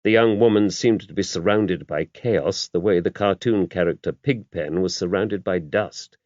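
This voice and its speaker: A man's voice: low voice, normal speed, darkish tone, no room sound. A man reading a mystery novel aloud as scripted audiobook narration. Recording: dull, muffled lo-fi audio.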